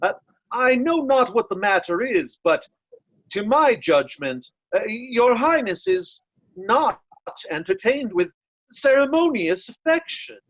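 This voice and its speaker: A man's voice: very high voice, very animated, takes it slowly, a bit bright, dry recording, full character voice. A man playing a loyal, blunt nobleman disguised as a servant in readers' theatre Shakespeare, earnest and deferential, on a lo-fi recording. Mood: deferential, candid. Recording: dull, lo-fi, dry sound.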